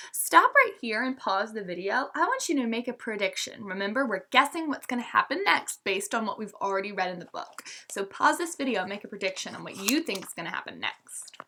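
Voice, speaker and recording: Female teacher for children's read-aloud: bright, highly animated, clear instructive storytelling style. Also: a little hiss or hum. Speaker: teacher reading aloud to pupils.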